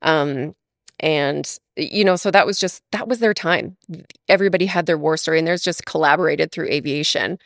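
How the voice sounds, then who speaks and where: A woman's voice: low voice, moderate pace, dry recording, studio quality. A woman from Alberta, Canada, chatting as a guest in an interview, laughing often and talking with lots of fillers. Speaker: podcast guest. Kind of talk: casual interview chat.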